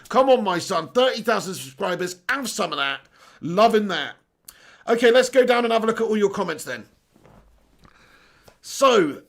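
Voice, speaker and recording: Excitable high-voiced stream host. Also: male voice, creaky. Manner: excitable, laughs often, casual swearing. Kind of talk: live stream chat reading viewer comments.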